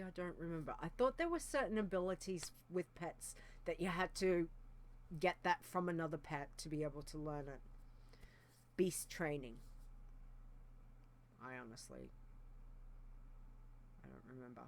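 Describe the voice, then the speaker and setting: A woman co-hosting a podcast in casual chat, thinking aloud with frequent ums and restarts. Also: deep voice. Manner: hesitant, thinking aloud with many fillers. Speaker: podcast co-host.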